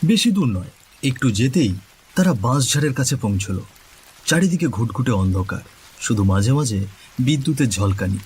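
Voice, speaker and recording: Animated male storyteller, mid-pitched. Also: moderate pace. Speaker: narrator. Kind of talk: scripted cartoon story narration. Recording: faint background noise.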